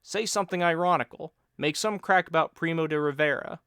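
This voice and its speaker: A man voicing a lazy, joking friend who teases and mock-lectures in a loud, raised voice. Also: fairly high pitch, brisk, clear, resonant tone, no echo, studio quality, voice pushed up. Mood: mock lecturing.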